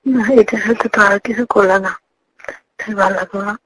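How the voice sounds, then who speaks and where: A woman's voice: medium pitch, steady tone, brisk, a bit bright. A young woman talking casually and intimately over the phone. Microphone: phone call. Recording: noisy phone call with clicks.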